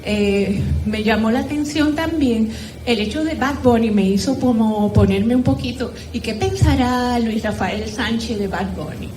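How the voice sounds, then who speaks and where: High-voiced Dominican woman, echoey room. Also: a little slow, a little mellow, faint music behind. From Dominican Republic. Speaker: book club participant. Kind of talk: informal spoken commentary at a literary gathering. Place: echoey room at a live group gathering. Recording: roomy echo, faint background noise.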